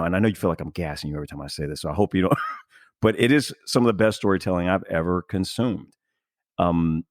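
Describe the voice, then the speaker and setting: Low-voiced man hosting a casual podcast. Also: darkish tone, no room sound, clean studio sound. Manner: effusive, rambling. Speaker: podcast host.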